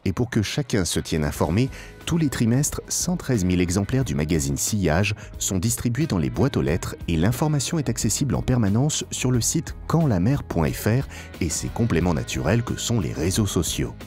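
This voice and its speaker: Deep-voiced male narrator, lively intonation. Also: normal speed. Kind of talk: scripted promotional documentary narration. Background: background music.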